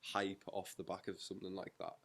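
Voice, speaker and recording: A man's voice: low-pitched, monotone, fairly quick, vocal fry, fairly bright tone. A young man with a North East English accent, interviewing in a casual, slangy chat. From North East England.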